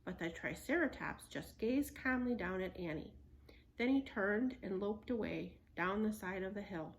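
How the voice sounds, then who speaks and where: A woman's voice: medium pitch, expressive, normal speed, slight background noise, poor audio. A woman storyteller reading a children's book aloud.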